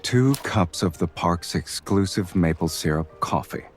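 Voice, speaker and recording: An actor playing a calm man on a date in a romance game, with a dry, unhurried, teasing way of talking. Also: low voice, faint music behind. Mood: calm, wry. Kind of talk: video game romance acting.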